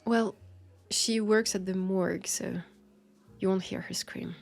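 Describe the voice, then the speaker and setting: Girl voicing a french accent vampire policewoman, guarded but friendly, kinda husky n slow, hesitant audio drama talk. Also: mid-range voice, steady tone, soft background music. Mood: wry, confiding. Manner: hesitant, confiding, wry. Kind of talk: roleplay audio drama, intimate one-sided conversation.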